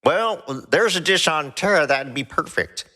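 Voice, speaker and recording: A man playing a hesitant, bumbling space-crew sidekick in an audio drama, halting and stammering as he talks. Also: on the high side, expressive, sharp tone. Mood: suggesting.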